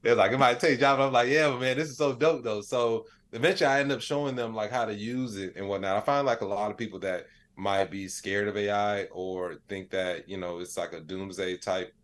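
American guy on a podcast, really low voice, casual storyteller, says like and you know a lot. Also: a little fast, hardly any pauses. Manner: animated storyteller, acts out quoted dialogue, peppers talk with "like" and "you know". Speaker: interview guest, AI educator and comedian. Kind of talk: casual podcast interview chat.

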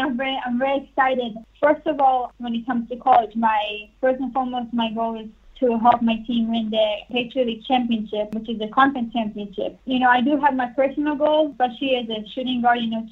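An earnest young woman talks over a phone call, her slightly high voice tinny and thin. It sounds distant, like a call-in guest. She rushes ahead with fillers and restarts. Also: steady tone. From Singapore and Israel. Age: young adult. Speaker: guest basketball player. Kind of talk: phone interview. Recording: tinny phone line from far off.

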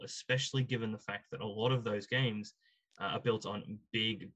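A man's voice: fairly low pitch, bright, forceful tone, poor audio. Man hosting an opinionated panel chat. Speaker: podcast host.

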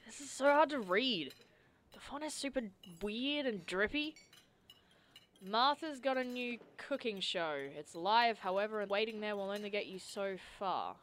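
A woman's voice: mid-range voice, expressive, normal speed, a bit bright, a little hiss or hum, lightly acted. A woman playing a jaded, sarcastic young sidekick, blunt and casual, in naturalistic audio drama dialogue. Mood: puzzled, irreverent.

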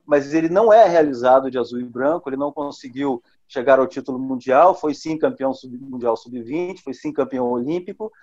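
A man discussing football in casual talk, thoughtful, often pausing on fillers. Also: mid-range voice, darkish tone, muffled recording. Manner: thoughtful, with hesitations. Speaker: football commentator. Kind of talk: podcast discussion.

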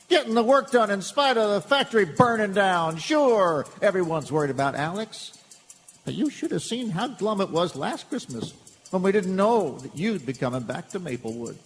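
An earnest, high-voiced small-town Pop in a hall. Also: male voice, a little hiss or hum. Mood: reassuring. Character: kindly small-town Pop, earnest older man, comforting. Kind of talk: live comedic radio theatre acting. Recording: live hall reverb, music behind.

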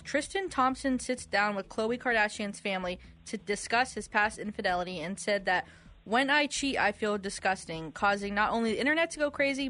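A woman's voice: medium pitch, fairly bright tone. A woman co-hosting a radio quiz, reading trivia questions in a conversational way.

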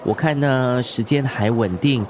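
A man's voice: medium pitch, a little slow, crowd noise, lightly acted. An actor playing a good-natured, hardworking expectant father in a radio drama, easygoing and chatty. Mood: cheerful, content. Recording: clear street and traffic noise.

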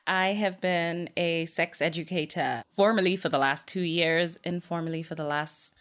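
Low-voiced woman from Kenya, earnest. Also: fairly even intonation, normal speed, a bit bright. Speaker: podcast guest. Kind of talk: interview answers.

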